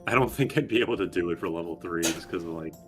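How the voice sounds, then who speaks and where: Brief: low-pitched man, stream co-commentator, crude joking chat over faint game music. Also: quick pace.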